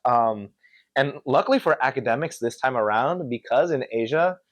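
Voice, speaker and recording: A young man from Connecticut, a podcast guest telling stories in an animated, slangy, casual way. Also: mid-range voice, moderate pace, dry recording. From Connecticut, USA. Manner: animated, slangy storytelling with many filler yeahs. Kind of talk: casual chat.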